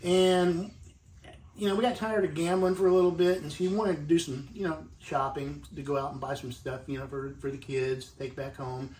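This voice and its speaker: A man's voice: on the high side, fairly even intonation, normal speed, a little mellow. An older man being interviewed, recounting what happened to him in a rambling way full of fillers, recorded indoors at home. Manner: rambling, filler-heavy storytelling. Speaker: eyewitness interviewee. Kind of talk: recounting a personal story. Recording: roomy, distant, faintly noisy video clip.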